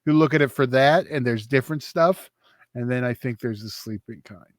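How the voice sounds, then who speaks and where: A man's voice: mid-range voice, moderate pace, no echo, studio quality. A man co-hosting a casual film review show, teasing his partner and laughing often. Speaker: podcast co-host.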